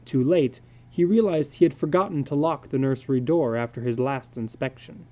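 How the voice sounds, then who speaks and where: A man giving a measured, deliberate dramatic reading of a literary story as its narrator. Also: mid-range voice, a little mellow.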